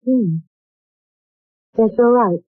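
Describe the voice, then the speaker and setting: A woman's voice: a little high, drawn-out words, breathy edge, dry recording, exaggerated voice. A courteous young typist in her twenties, dry tinny old radio sound. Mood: polite, earnest. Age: 20s. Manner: polite and eager, saying "sir" often. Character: polite earnest young secretary, 22. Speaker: job applicant. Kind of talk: 1940s radio acting.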